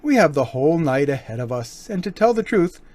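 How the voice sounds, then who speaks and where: A man playing a suave, menacing gentleman burglar in an old-time radio crime drama, mock-courteous and taunting. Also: fairly high pitch, sing-song, brisk, no room sound. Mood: teasing, unhurried.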